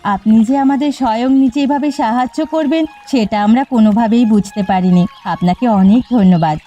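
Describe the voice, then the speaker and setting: A woman's voice: a little high, normal speed, dark tone, lo-fi sound, faint music behind, natural voice. A woman voicing a humble, worried village woman in a cartoon, speaking earnestly. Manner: earnest, pleading. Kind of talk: cartoon voice acting.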